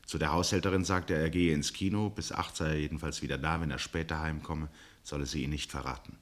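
Narrator for a 60s german radio drama, low pitched, measured, articulate, literary style. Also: male voice, steady tone. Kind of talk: scripted literary narration, 1960s radio play.

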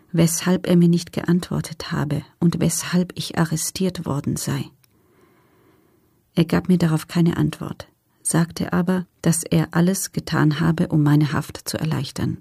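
A guarded female prisoner gives testimony in a radio play. Her voice is lowish and dark, with a marked creak. She speaks soberly and with restraint, at a measured tread. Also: no echo, clean studio sound, full character voice. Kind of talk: documentary radio play, reading written testimony.

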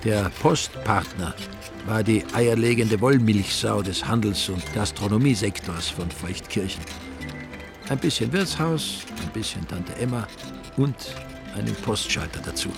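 A man's voice: lowish voice, gravelly. A man with an Austrian accent narrating a radio drama in a wry, colloquial storytelling style.

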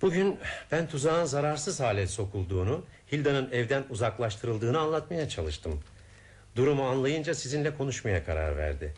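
An actor playing a composed, methodical detective in a Turkish radio play, explaining and questioning in a measured way. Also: male voice, medium pitch, very animated, close to an everyday voice. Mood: explaining gravely. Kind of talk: Turkish radio theatre acting.

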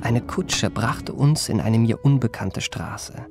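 A man's voice: mid-range voice, slow, a little mellow. An actor playing an elegant young male confidence trickster who recounts his life in ornate, self-satisfied, mannered formal language for a literary radio play. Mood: confiding, suave. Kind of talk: literary first-person radio play narration. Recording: music behind the voice.

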